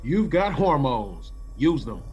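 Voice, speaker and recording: A man's voice: medium pitch, sing-song, takes it slowly, dull audio, over music. An actor playing a decisive, commanding male executive of a space colony in an old-time radio science-fiction drama. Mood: insistent. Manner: commanding, firm.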